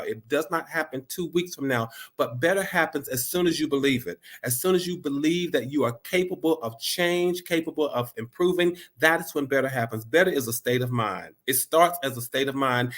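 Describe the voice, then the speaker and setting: A man's voice: medium pitch, fairly bright tone. A man with a congested, stuffy voice hosting a live motivational channel, upbeat and encouraging, repeating phrases for emphasis. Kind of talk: live-streamed motivational talk.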